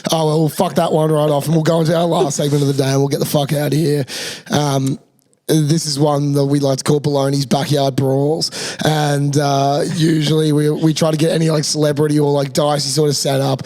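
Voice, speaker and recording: A man's voice: a little high, level tone, fairly quick, a little mellow. A man of twenty-five with an Australian accent, telling rowdy, sweary stories as host of a casual podcast. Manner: rowdy, sweary, laughing storyteller.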